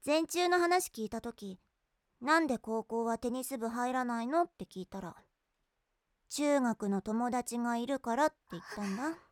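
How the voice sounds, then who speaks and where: 女性声優が、のんびりしていて人をからかうのが好きな女子高生を演じている。. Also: female voice, a little high, expressive, normal speed, dry recording, studio quality, lightly acted. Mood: easygoing. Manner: playful, teasing. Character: teasing, easygoing high school girl. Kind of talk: voice drama acting.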